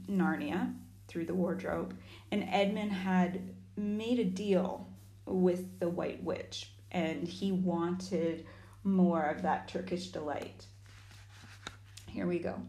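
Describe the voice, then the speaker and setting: Woman reading aloud, slightly gruff voice. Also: lowish voice. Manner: warm asides to young listeners. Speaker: reader reading a chapter book aloud. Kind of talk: storybook reading with chatty asides. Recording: echoey room.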